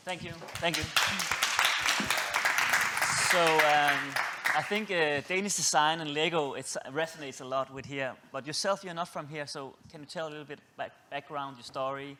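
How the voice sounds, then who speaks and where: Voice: medium pitch, fairly even intonation, normal speed, a crowd in the background, noisy background. Moderator, conversational; questions ramble and restart.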